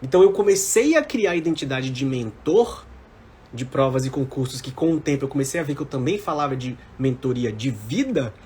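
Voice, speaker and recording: A man's voice: medium pitch, animated, brisk, a little mellow, slightly rough. A man of about forty with a Brazilian accent, giving informal motivational coaching to live-stream viewers, emphatic and repeating his key phrases. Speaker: mentor for civil service exam candidates.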